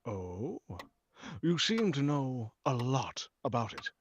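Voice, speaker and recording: A man's voice: mid-range voice, sing-song, slow pace. A man playing a stubborn, sceptical and suspicious house buyer in 1940s radio drama style, on a lo-fi recording. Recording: dull lo-fi sound with faint noise.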